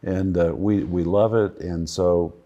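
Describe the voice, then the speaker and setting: A man in his sixties from the United States, a guest pastor telling relaxed anecdotes in a studio interview. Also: deep voice, measured pace, muffled recording. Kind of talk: casual storytelling interview. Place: cozy studio set with armchairs.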